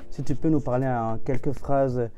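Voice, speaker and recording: A man's voice: medium pitch, fairly even intonation, moderate pace, dark tone. A man hosting a gospel music show, who gives a formal welcome and then interviews his guest. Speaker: show host. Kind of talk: scripted show introduction and interview.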